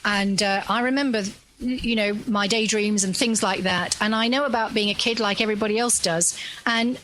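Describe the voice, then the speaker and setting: A woman's voice: medium pitch, steady tone, brisk, a bit bright, a little hiss or hum, rough recording. A woman guest on a radio talk show, answering in an earnest, rambling way with fillers. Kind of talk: radio interview.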